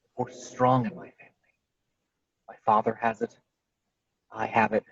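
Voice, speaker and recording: A man reading an earnest, calm, resolute young hero in a film script table read, on muffled video-call audio. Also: mid-range voice, normal speed, vocal fry.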